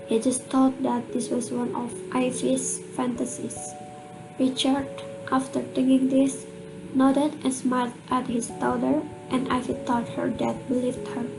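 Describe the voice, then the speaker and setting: A woman's voice: sits high, flat delivery, a little slow, gravelly, rough recording. A woman narrating a children's tale for an amateur radio drama in a scripted storytelling style. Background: guitar music.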